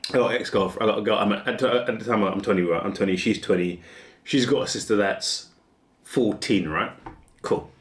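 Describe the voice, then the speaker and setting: Male vlogger, 40s, casual rambling delivery, very lively pitch, echoey room. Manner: rambling, restarts often, laughs at himself. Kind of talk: casual musing monologue.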